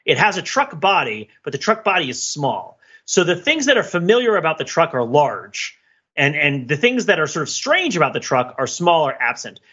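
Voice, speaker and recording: A man's voice: on the high side, big pitch swings, normal speed, sharp tone, breathy edge, lo-fi sound. A middle-aged man in a casual podcast discussion, wordy and mock-academic as he lays out his points. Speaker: podcast panelist.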